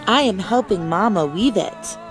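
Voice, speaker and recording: A woman's voice: medium pitch, animated, moderate pace, over loud music, close to an everyday voice. A woman voicing an earnest, helpful young village girl in a storybook, speaking simply. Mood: proud.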